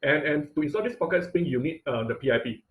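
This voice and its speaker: A man's voice: on the high side, lively intonation, fast talker, low-quality recording. A man giving an informal technical lecture as an online instructor, often repeating phrases and checking in with okay and right.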